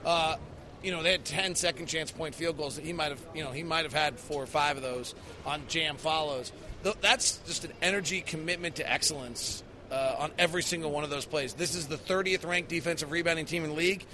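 A man's voice: a little high, fairly even intonation, brisk, noisy background. An American man hosting a basketball post-game podcast, giving emphatic analysis and stressing the key figures. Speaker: sports podcast host.

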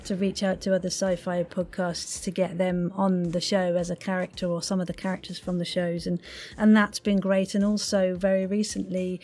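A woman's voice: lowish voice, completely flat delivery, moderate pace, a little mellow. A woman podcast host chatting casually in a bubbly, quirky, encouraging way. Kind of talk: casual interview chat.

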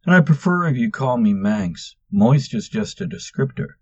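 A man's voice: mid-range voice, expressive, moderate pace, husky, dry recording, dull audio, voice pushed up. A man voicing the exasperated young Manx. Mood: sulky, weary. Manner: exasperated, insistent.

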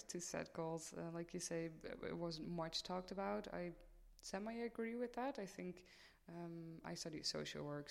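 Creaky-voiced woman hosting in a reverberant space. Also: low-pitched. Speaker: podcast host and student life coach. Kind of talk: podcast interview, conversational. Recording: reverberant hall-like room sound.

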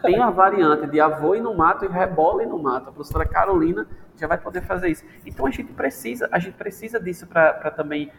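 A lively man from Brazil talks like a teacher who loves language, playfully acting out the voices he quotes. His voice sits high. The sound carries a long reverb, with a faint hiss underneath. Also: quick pace, creaky, hardly any pauses. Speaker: podcast guest, language teacher. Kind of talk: casual podcast chat.

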